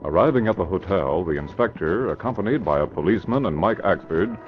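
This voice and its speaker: An actor as the formal narrator of a 1940s radio drama, reading scripted scene-setting narration. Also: male voice, sits low, animated, fairly quick. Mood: measured, formal. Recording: muffled vintage radio recording. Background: music.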